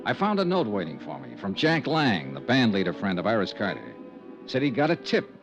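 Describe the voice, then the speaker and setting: An actor playing a sardonic, probing insurance investigator in a 1950s radio detective drama, wry and clipped. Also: male voice, lowish voice, huge pitch swings, a little fast, over music. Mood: wry narrating. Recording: muffled old radio recording.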